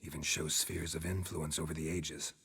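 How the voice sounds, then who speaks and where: An actor playing a stoic middle-aged monster hunter in a video game, with an American accent, a gravelly voice and a terse, deadpan delivery. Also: male voice, moderate pace, a little hiss or hum, heavily acted. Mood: wry, curious. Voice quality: low gravelly. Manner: terse, deadpan, clipped phrasing.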